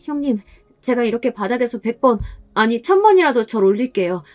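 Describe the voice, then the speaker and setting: Pleading young sister-in-law for story audio, done by a female narrator; high, imploring, whiny. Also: sing-song, a little fast, faint music behind. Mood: desperate pleading. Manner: whining, imploring. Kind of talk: acted dialogue in a narrated story.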